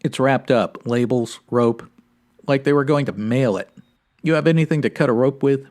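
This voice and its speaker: A man's voice: mid-range voice, normal speed, a little mellow, close to an everyday voice. A man playing a stoic, no-nonsense 1950s police sergeant, narrating in clipped, matter-of-fact radio detective style. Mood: businesslike. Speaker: actor in a comedy audio drama.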